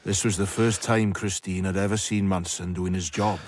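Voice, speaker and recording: An actor playing an eloquent storyteller, narrating a radio drama in a measured, florid way. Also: male voice, low-pitched, fairly even intonation, no room sound, close to an everyday voice.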